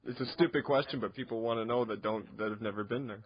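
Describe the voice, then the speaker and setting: A man's voice: on the low side, fast talker, dry recording. A Canadian man interviewing a guest in a loose, casual, conversational style. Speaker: radio interviewer. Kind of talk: casual, profane interview chat. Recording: dull, lo-fi close recording.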